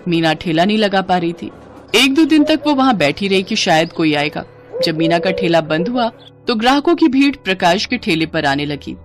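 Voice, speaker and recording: A woman's voice: lowish voice, lively intonation, normal speed, over music. A woman storyteller delivering scripted narration for a moral tale.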